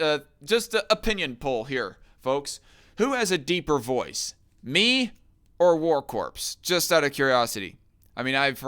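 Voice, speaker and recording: A man on a livestream who sounds bright and forceful, with a very clean, resonant voice. He argues and mocks in crude, casual commentary. He reads chat comments aloud as he goes. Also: medium pitch, smooth. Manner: crude, mocking, argumentative. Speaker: livestream host.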